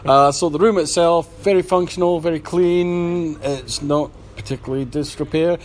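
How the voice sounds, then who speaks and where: Slightly high-voiced man chatting as host. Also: moderate pace, slight background noise. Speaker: podcast host. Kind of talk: casual podcast chat. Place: hotel breakfast area.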